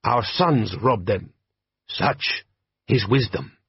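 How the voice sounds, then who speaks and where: A man voicing a hearty, shrewd desert bandit chief who speaks slow, broken English. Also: mid-range voice, breathy edge, dry recording, highs cut off, heavily acted.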